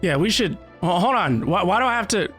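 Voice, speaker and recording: A woman's voice: medium pitch, animated, brisk, over music. A woman giving casual gaming reaction commentary, with dry, sarcastic quips. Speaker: gamer reacting to a story quest.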